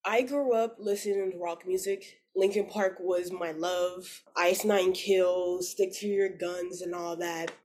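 A woman's voice: on the low side, fairly even intonation, a little slow, few pauses, darkish tone. A woman in her twenties chatting as an interview guest, telling personal stories in an informal, slangy way. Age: 20s. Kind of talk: casual chat, storytelling.

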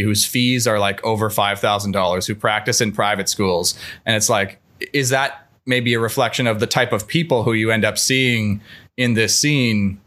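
A man's voice: lowish voice, pushed and bright. A man hosting a casual podcast discussion, talking in a rambling, wry, self-deprecating way. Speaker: podcast host.

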